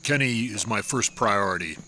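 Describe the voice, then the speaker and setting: A man playing a crisp, formal doctor. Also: fairly low pitch, normal speed, pushed and bright, slight background noise. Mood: measured. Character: measured scientist doctor, discreet.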